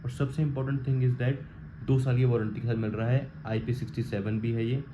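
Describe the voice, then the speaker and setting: A man's voice: medium pitch, little pitch movement, moderate pace. An Indian man giving a casual product walkthrough in an informal way, slipping between Hindi and English phrases. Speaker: vlogger reviewing gear. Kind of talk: casual unboxing and installation walkthrough. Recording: muffled lo-fi audio with faint background noise.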